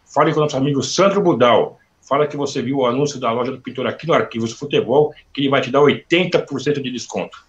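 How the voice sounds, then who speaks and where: A man's voice: mid-range voice, quick pace, hardly any pauses. Guy hosting a live video call interview, talkative, reads sponsor plugs, kinda dull muffled audio. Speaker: interview host.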